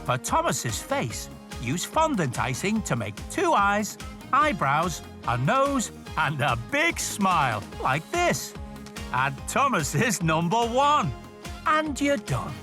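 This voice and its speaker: A man tells stories and gives simple step-by-step instructions to small children. His pitch swings widely and he talks straight to the audience, with music clearly playing behind him. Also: takes it slowly. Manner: expressive, addresses young viewers directly. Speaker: storyteller and presenter. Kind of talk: children's story reading and step-by-step craft narration.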